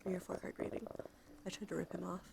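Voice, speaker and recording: Creaky-voiced woman, cozy ASMR streamer. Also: on the low side, little pitch movement, quick pace, heavy background noise. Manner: soft-spoken, often dropping into a whisper. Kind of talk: cozy ASMR livestream chat.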